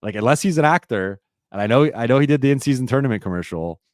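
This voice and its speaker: A man hosting a live-stream Q&A, talking casually and rambling with lots of fillers and restarts, his voice a little raspy. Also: medium pitch, animated, fast talker, dry recording, clean studio sound. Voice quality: slightly raspy voice.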